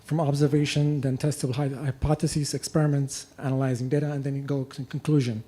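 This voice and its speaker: A young man from Ethiopia asking a long question at a lecture, halting and full of restarts. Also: medium pitch, level tone, warm tone. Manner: halting, many fillers and restarts. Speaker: audience questioner. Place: lecture hall.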